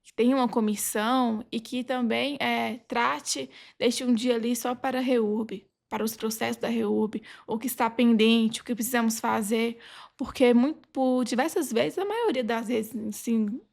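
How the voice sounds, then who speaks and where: A woman's voice: fairly high pitch, a little slow, creaky, a little mellow. A woman with a Brazilian accent speaking as a podcast guest, explaining earnestly in a conversational way. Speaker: podcast guest, legal advisor.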